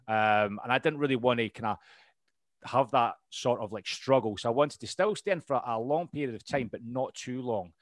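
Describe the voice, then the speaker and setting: A man's voice: medium pitch, moderate pace, no echo, studio quality. A man in his thirties with a Scottish accent, a veteran telling his life story as a guest in a casual interview, rambling with ums and restarts. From Scotland. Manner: rambling, lots of ums and restarts, laughs easily. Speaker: podcast guest, RAF veteran.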